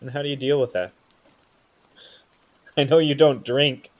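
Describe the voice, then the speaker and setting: A man acting a troubled, defensive, self-pitying brother in an audio drama, speaking in halting, broken phrases. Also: mid-range voice, steady tone, highs cut off, lightly acted. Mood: vulnerable.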